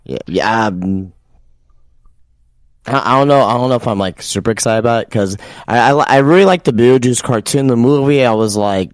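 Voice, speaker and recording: A man's voice: medium pitch, normal speed, dry recording. A man co-hosting a podcast, talking casually with lots of stammered restarts and fillers. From Texas. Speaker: podcast co-host.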